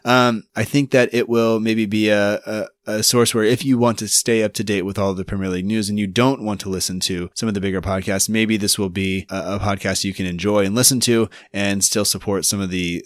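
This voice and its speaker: A man in his thirties hosting a podcast, talking in a relaxed, rambling way with lots of fillers. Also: on the low side, a little fast, few pauses, no echo, clean studio sound. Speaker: podcast host. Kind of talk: casual podcast interview and intro.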